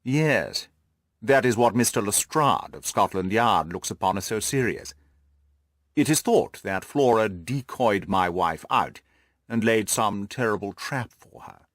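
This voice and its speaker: A male reader acting a stuffy, haughty lord from a classic audiobook. He speaks formally and at length, full of self-importance, with lively swings of pitch in a close, dry recording. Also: measured pace, no echo. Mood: self-justifying. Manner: pompous, formal, long-winded. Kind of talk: audiobook character dialogue.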